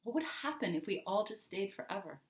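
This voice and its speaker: A woman's voice: medium pitch, lively intonation, brisk, lightly acted. A woman voicing a stubborn, pleading young girl with an argumentative, emphatic way of talking, in a story reading. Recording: roomy, echoing indoor sound.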